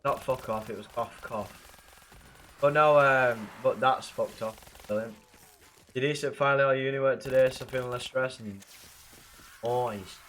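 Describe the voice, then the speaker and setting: A young man with a blocked nose and rough throat, chatting casually and swearing with viewers while playing a game. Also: medium pitch, steady tone, unhurried, long pauses, a bit bright, slight background noise. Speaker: livestreamer playing a zombie game.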